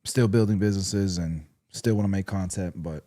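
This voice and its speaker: Low mellow guy chatting on his livestream, blunt and laid back, dry sound. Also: moderate pace, no room sound. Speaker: livestream host. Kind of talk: casual livestream chat. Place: his own streaming setup.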